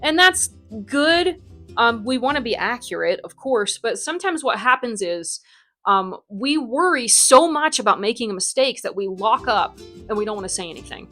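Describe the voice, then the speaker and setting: A woman's voice: on the high side, very animated, normal speed, pushed and bright, soft background music. A young adult woman from the United States, a Spanish teacher who runs her academy, answering interview questions in a chatty way full of ums and likes.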